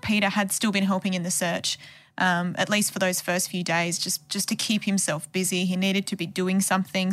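A woman's voice: mid-range voice, a bit bright, no room sound. An Australian woman explaining a case as a journalist in a conversational true crime podcast style.

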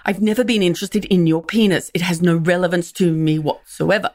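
A woman's voice: on the low side, a little mellow. A woman comedian speaking frankly and wryly as an interview guest, often restarting her sentences. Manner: frank, wry, with halting restarts. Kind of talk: reflective interview talk.

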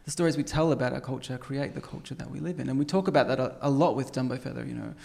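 A man's voice: medium pitch, very fast, vocal fry, darkish tone. A man interviewing a guest, talking in an earnest way with frequent restarts. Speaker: interviewer, magazine editor. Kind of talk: interview conversation.

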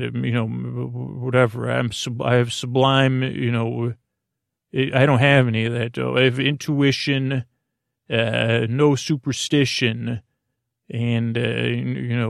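A man hosting a sleep podcast in a creaky, lulling voice, rambling haltingly through tangents and correcting himself as he goes. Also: medium pitch, no room sound, clean studio sound. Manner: meandering, halting, full of tangents and self-corrections; soothing, lulling. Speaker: podcast host.

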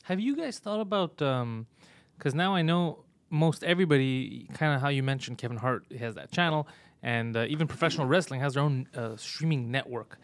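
A man's voice: mid-range voice, animated, fairly quick, no echo. A man hosting a podcast, chatting casually with long rambling questions. His voice sits in a middle range and sounds dry and close to the mic. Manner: chatty, many fillers, long loose questions. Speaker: podcast host, comedian.

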